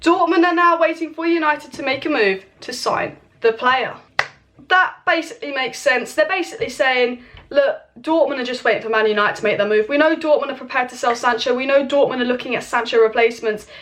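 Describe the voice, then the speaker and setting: A young woman hosting a casual football transfer news update from home, hoarse as she loses her voice, chatty and opinionated, recorded on a camera mic. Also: sits high, brisk, a bit bright. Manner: chatty, opinionated, speaks straight to viewers. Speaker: football channel host. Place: home with kitchen clatter nearby.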